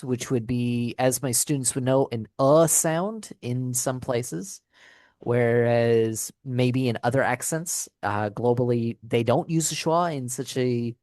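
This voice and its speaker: A man's voice: medium pitch, darkish tone, no echo. A man hosting an interview as an English teacher, asking friendly questions with lots of fillers. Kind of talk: casual interview.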